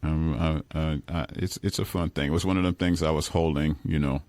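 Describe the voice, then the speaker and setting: A man's voice: very deep voice, fairly even intonation, a little fast, a little mellow, dry recording. A man from Brooklyn speaking as a guest on a radio interview, talking in a rambling way and often restarting his sentences. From Brooklyn, New York. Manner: rambling, self-correcting, chuckles now and then. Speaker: guest musician. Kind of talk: relaxed radio interview talk. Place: radio studio.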